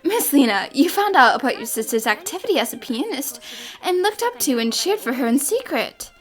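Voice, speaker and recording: A woman's voice: high-pitched, very animated, fairly quick, soft background music. A woman voicing a confident, theatrical young female detective in a cartoon fan dub, explaining with dramatic assurance. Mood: gently probing. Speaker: voice actor. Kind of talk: fan-dubbed cartoon acting.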